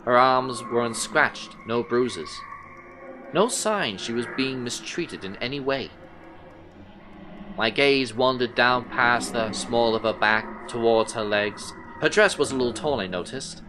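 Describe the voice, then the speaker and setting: Male actor as troubled detective, mid-pitched voice, first-person horror narration, measured and brooding, clear music bed underneath. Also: natural voice. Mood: watchful, musing. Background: music soundtrack.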